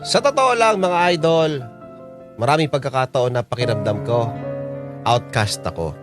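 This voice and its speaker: Guy playing a hurt left-out young man telling his story, heartfelt radio drama, music loud behind. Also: mid-range voice, lively intonation, moderate pace, natural voice. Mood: wounded, reflective. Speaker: narrator and main character. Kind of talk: first-person radio drama narration.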